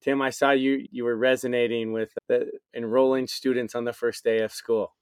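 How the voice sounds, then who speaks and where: A man's voice: medium pitch, little pitch movement, moderate pace, clean tone, no echo. A man hosting a podcast, affirming his guest's story and passing the floor to his co-host. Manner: warmly affirming, handing the conversation on. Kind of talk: casual podcast chat.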